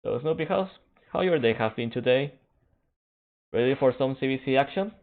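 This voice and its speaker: Phone-like, halting male stream commentator. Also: mid-range voice, animated, vocal fry. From Canada. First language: Spanish. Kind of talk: casual stream chat while waiting for a match. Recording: narrow phone-like band.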